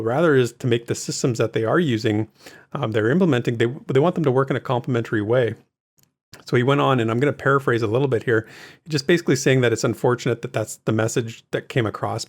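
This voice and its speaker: Man co-hosting a casual gaming podcast. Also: mid-range voice. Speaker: co-host of a gaming news recap show. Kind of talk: casual podcast discussion of game news.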